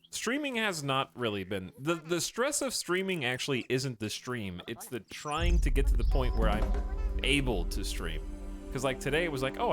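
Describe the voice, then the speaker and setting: A man streaming a building game who talks casually with his chat and thinks aloud as he plays. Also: mid-range voice. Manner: rambling, thinking aloud while playing. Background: game music.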